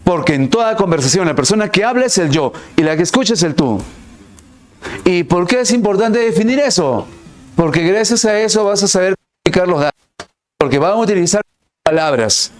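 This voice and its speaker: A male math teacher explaining a problem step by step, asking rhetorical questions and stressing key words with big swings in pitch. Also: expressive, a little fast. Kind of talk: recorded online class.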